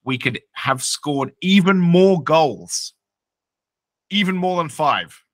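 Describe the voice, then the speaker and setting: A man co-hosting a casual sports podcast, excitable and quick to laugh. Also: on the high side, dry recording, clean studio sound. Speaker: podcast co-host.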